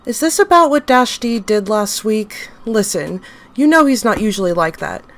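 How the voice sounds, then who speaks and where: A woman's voice: mid-range voice, animated, moderate pace, lightly acted. A woman voicing a strange being that is wary and suspicious of being watched.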